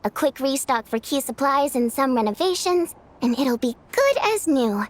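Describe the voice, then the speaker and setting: A woman's voice: high voice, animated, normal speed. A woman playing a capable, matter-of-fact young station manager in a video game, composed and assured. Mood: composed, determined. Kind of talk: animated video game voice acting. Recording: faint music behind the voice.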